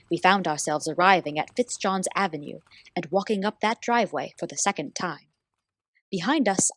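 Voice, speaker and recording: A woman's voice: lowish voice, breathy edge, dry recording, rough recording. A woman playing a devoted detective's assistant, narrating a radio play in an earnest, literary way.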